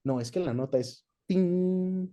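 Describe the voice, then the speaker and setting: A man's voice: high voice, slow, dark tone. A man with a Mexican accent chatting casually as an interview guest, recounting his experience. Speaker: guest, dubbing actor and director.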